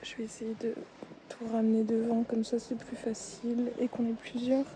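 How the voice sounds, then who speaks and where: A young woman speaking softly and often whispering while tidying shelves in a supermarket aisle, with frequent hesitations. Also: on the high side, completely flat delivery, moderate pace, noisy background. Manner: soft-spoken, drifting into whispers, many hesitations. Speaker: ASMR creator. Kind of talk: soft-spoken ASMR while tidying shelves. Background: faint store ambience.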